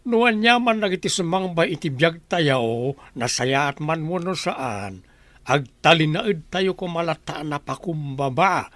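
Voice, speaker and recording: A man's voice: on the high side, expressive, measured pace, fairly bright tone, no room sound. A man playing a pious villager who admonishes others earnestly with rhetorical questions in an Ilocano drama. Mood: earnest, admonishing. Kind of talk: Ilocano radio-style drama acting.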